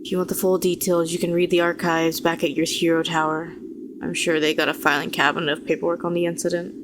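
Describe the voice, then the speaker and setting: A woman playing a weary, bitter telepathic villain who was once a hero, speaking to the listener in a sardonic, deliberate way in an audio roleplay. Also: fairly low pitch, level tone, noisy background, close to an everyday voice. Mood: resigned, wry. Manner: deliberate, sardonic, rhetorical questioning, condescending endearments.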